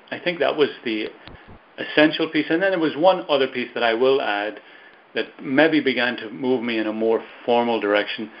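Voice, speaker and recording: Older Belfast man on a muffled call. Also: medium pitch. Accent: Belfast Irish. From Northern Ireland. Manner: thoughtful, unhurried, with soft laughs. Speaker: guest. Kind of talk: reflective storytelling. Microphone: video call. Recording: dull lo-fi call audio.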